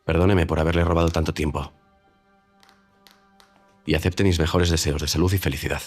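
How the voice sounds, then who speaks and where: An actor playing a haughty, proud gentleman who speaks in a cold, formal and clipped way, with soft music under him. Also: very deep voice, steady tone, brisk. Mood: stiff. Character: haughty proud gentleman making a stiff proposal. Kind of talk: audio drama acting.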